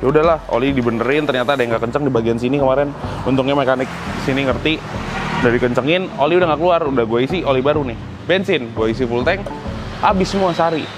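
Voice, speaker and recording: A man's voice: mid-range voice, fast talker, over music. A young man chatting casually as a vlog host, slangy and grumbling in an exasperated way. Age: young adult. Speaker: motovlog host. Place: open concrete garage forecourt by a workshop.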